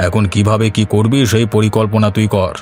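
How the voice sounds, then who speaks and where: A man's voice: on the low side, flat delivery, a little fast, a little mellow, soft background music, natural voice. A man voicing a covetous rich village boss in a cartoon, calculating and commanding toward his underling. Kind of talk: cartoon voice acting.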